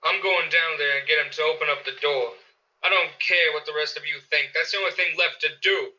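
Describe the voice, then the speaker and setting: A hot-headed, bigoted neighbor in a radio drama, played by a man. His voice is high for a man and very sharp and forceful, pushing aggressively through dull lo-fi audio. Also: fairly even intonation, a little fast. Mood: agitated. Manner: aggressive, confrontational. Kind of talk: radio play acting.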